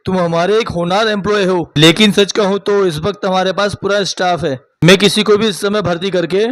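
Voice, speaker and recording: A man's voice: high voice, fairly even intonation, fairly quick, a bit bright, dry recording. A man voicing a frank, businesslike office boss in a cartoon moral story. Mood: frank, dismissive. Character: frank, unhelpful office boss refusing a favor.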